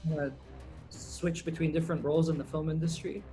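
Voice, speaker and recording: A man's voice: on the high side, quick pace, over music, low-quality recording. Laid-back man, filmmaker guest. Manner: relaxed, rambling, many ums and uhs. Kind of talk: casual interview answers.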